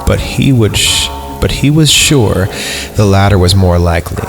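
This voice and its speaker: Low gravelly guy reading a creepy story out loud, says "comma" and stuff, keeps joking around, music playing behind. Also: expressive, moderate pace. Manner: reads punctuation aloud, stumbles and cracks jokes mid-story. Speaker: podcast host. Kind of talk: reading a horror story aloud with joking asides.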